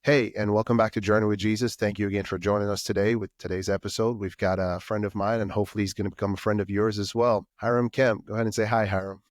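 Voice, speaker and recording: Host, man, fast and low-voiced, friendly rapid questions, dry close sound. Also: little pitch movement, no echo. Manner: quick, friendly questions with fillers. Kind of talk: casual interview over video call.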